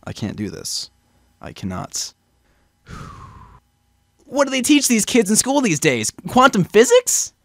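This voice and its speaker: A man's voice: very high-pitched, huge pitch swings, unhurried, long pauses, fairly bright tone, dry recording, clean studio sound, pitched above the performer's own voice, full character voice. A man as an exasperated lawyer. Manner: exasperated, groaning.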